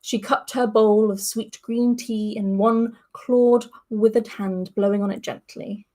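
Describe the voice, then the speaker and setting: A woman's voice: mid-range voice, steady tone, unhurried, dark tone. A woman podcast host reading a story aloud in a chatty manner. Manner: chatty, laughs between passages.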